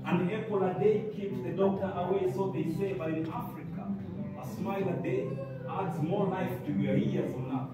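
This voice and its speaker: A man's voice: high voice, normal speed. A young Kenyan man with a Kenyan accent performing spoken word poetry with a rhythmic, declamatory delivery to a seated audience. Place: indoor community hall with seated audience. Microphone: phone camera from the audience, far off. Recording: echoey hall from far off, music behind.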